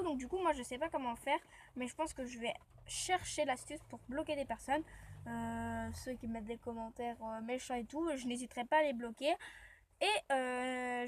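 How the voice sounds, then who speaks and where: A high-pitched woman talks casually to her viewers from inside a car, her throat sore from a cold. She rambles and chats loosely, story after story. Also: a little hiss or hum. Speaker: vlogger. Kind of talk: casual vlog chat. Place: inside a parked car, rain outside.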